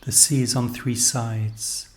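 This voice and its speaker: A man narrating a scripted literary story in a measured, reflective manner. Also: medium pitch, level tone. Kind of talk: scripted literary narration of location-based stories.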